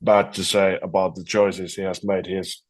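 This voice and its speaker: A man's voice: low-pitched, flat delivery, normal speed. A man, a metal guitarist, talking casually in an interview over a video call and often repeating words as he thinks. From Finland.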